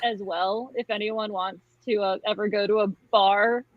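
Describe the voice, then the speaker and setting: A woman's voice: mid-range voice, fairly even intonation, moderate pace, dry recording. A woman chatting casually and jokingly with friends over lo-fi online audio. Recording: dull, dry online audio.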